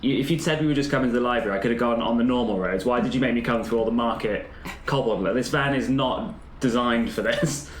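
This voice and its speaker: A man's voice: mid-range voice, fast, few pauses, a little hiss or hum, close to an everyday voice. Man playing a grumbling van driver, roomy sound. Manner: moaning, mildly sarcastic. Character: grumbling tradesman van driver, man in his late thirties.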